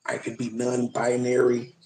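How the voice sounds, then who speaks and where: A man's voice: mid-range voice, monotone, unhurried, darkish tone, slight background noise. Rambling man streaming live, roomy sound. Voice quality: rambling, profane, reacts to chat. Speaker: livestream host. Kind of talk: casual live commentary.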